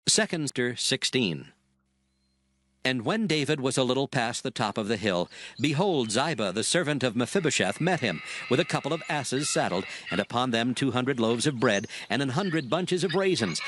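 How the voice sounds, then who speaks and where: A man narrating scripture in a solemn, measured storytelling style, as in a dramatized audiobook. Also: medium pitch, lively intonation. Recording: loud music behind the voice. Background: music.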